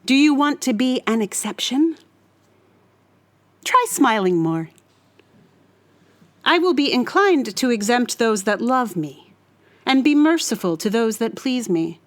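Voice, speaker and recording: A woman performing a scripted dramatic monologue in a deliberate, theatrical and menacing manner. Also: on the high side, big pitch swings. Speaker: writer reading own monologue.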